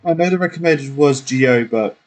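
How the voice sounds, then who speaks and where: A man's voice: medium pitch, normal speed. A man giving casual, off-the-cuff gameplay commentary as he plays. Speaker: gamer, let's player. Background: game music.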